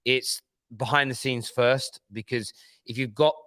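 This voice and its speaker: A man hosting a fan livestream in a laid-back, chummy way, reading out viewer messages. His voice is smooth and resonant at a middling pitch. The sound is dry and close, with no room around it. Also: fairly even intonation, a little slow, fairly bright tone, no echo. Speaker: host. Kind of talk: casual chat, livestream reading super chats.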